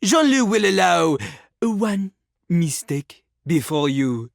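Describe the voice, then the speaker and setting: A woman voicing a cocky, theatrical male French rapping toilet in a video game, husky and pompous, with a French accent. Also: on the low side, sing-song, slow, no room sound, studio quality, heavily acted. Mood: condescending. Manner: pompous, talks of himself in third person. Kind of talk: video game character acting with rapped verses.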